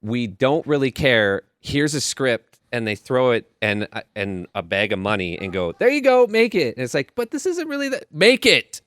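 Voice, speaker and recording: Male podcast co-host, animated and halting, swinging pitch, dry close sound, casual chat. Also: no echo. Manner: animated, halting, acts out imagined dialogue.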